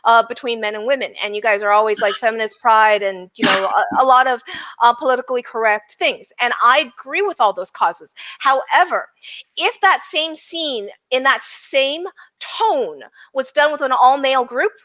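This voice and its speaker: A woman with a bright, forceful voice talks through films as a podcast panelist. She is casual but opinionated and emphatic. The audio is somewhat dull and lo-fi, and her pitch sits fairly high. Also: normal speed. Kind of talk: casual film discussion.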